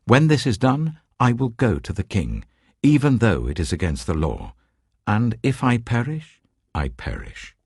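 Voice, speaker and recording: British male narrator as resolute queen, earnest, formal, deliberate, dramatic pitch movement, dry audiobook sound. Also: sing-song, a little mellow, breathy edge, dry recording, exaggerated voice. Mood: grave resolve. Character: brave, resolute young queen, earnest and courteous.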